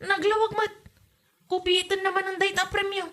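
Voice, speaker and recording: A suspicious village gossip voiced by a woman in an Ilocano podcast comedy drama. The voice is extremely high and sharp, with an Ilocano accent. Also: level tone, moderate pace.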